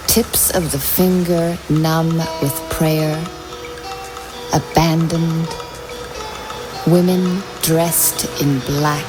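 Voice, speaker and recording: A woman reading poetry in a slow, measured, deliberate way. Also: deep voice, poor audio. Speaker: poetry reader. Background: music soundscape.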